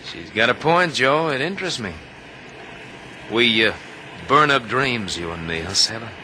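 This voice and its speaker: A man's voice: medium pitch, animated, measured pace, natural voice. An actor playing a cocky, greedy young gunman with a smug, musing, insinuating delivery in old radio drama style. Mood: intrigued, insinuating. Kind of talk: 1950s radio drama acting. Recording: noisy old radio recording.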